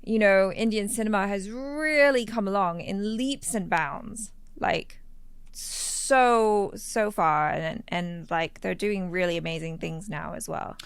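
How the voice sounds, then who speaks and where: Chatty woman with a clear, smooth voice. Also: mid-range voice, slow, dry recording. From Thailand. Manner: chatty, laughing, playful asides. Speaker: guest, reaction channel creator. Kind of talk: casual interview storytelling.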